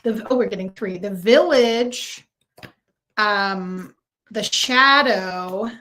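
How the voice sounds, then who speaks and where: A woman hosting a casual tarot livestream, talking warmly to viewers and reading out their comments, with frequent fillers and restarts. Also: mid-range voice, slow, a little hiss or hum.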